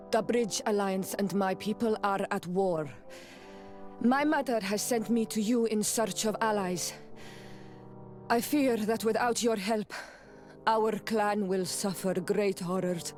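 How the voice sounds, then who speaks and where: A woman's voice: medium pitch, steady tone, slow, darkish tone, soft background music, close to an everyday voice. A woman playing a proud young native clanswoman in a video game, speaking formally and insistently as her clan's emissary. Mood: earnest pleading.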